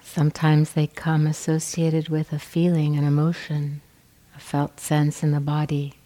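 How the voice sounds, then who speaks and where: A woman teaching meditation, giving gentle, measured guidance with pauses between phrases. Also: sits low, completely flat delivery. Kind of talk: guided meditation instruction.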